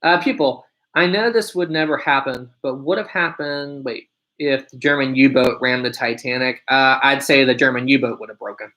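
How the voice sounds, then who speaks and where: Young man, deep voice, casual live stream host, chatty, reading out chat questions. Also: normal speed, pushed and bright, rough recording. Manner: chatty, answers viewer questions one by one.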